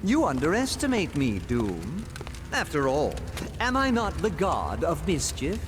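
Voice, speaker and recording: Wildly swooping, gloating trickster god. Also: male voice, on the high side, huge pitch swings, measured pace. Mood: smug. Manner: grandiose, archaic, mocking. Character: gloating, scheming male god of mischief. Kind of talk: theatrical video game villain acting. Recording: long hall reverb, faint background noise.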